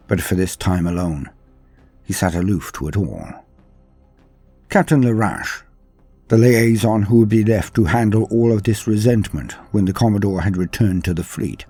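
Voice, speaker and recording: A man narrating a dramatic science fiction story in a measured, theatrical way. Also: fairly low pitch, a little mellow, breathy edge, no echo.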